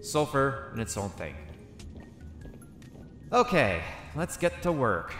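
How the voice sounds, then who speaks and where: A man's voice: mid-range voice, big pitch swings, slow pace, a bit bright. A man roleplaying a character over gameplay, thinking aloud to himself as he goes. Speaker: roleplaying gamer. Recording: echoey reverberant room with faint music.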